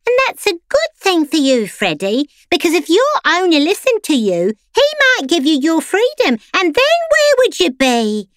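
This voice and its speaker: A woman doing a pampered lady pet with a very high, lilting voice that swoops up and down. She talks down to everyone in a smug, condescending way, in a dry recording. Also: wildly swooping pitch, moderate pace, hardly any pauses, no room sound, exaggerated voice. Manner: condescending, sing-song. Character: pampered prim female pet, smug and superior.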